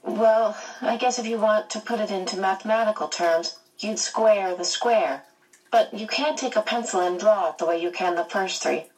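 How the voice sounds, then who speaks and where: A woman's voice: medium pitch, normal speed, lightly acted. A woman voicing an anxious, blunt teenage girl who talks earnestly and hesitantly, recorded at a distance.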